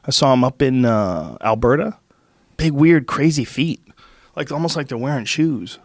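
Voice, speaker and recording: A man in his fifties hosting a podcast, chatting casually in a lively, profane way while congested from a cold. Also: medium pitch, normal speed, dry recording, clean studio sound. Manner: animated, profane storytelling. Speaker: podcast host. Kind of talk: casual chat. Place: studio with brick wall. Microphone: studio mic on boom arm, headphones.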